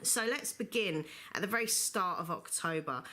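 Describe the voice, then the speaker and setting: A woman with a low-set but bright, forward voice, talking through her reading in a casual, rambling vlog. She leans on fillers like "you know" and still sounds a bit chesty from a recent flu. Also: expressive, normal speed. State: recovering from flu, slight cough. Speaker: book vlogger. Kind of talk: casual book review monologue.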